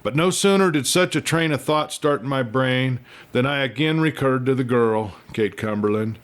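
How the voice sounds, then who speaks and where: A male narrator plays a young scholar in a storybook, pompous and self-mocking. His medium-pitched voice is theatrical, with bookish phrasing that slips into folksy turns. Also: moderate pace, close to an everyday voice. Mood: haughty, musing. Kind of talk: dramatic first-person storybook reading.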